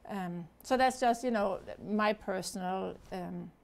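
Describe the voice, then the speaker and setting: A woman's voice: mid-range voice, unhurried, creaky. A woman from Denmark with a Danish accent, speaking as a guest in an earnest studio interview, with many fillers and restarts. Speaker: charity founder, guest. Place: TV studio set.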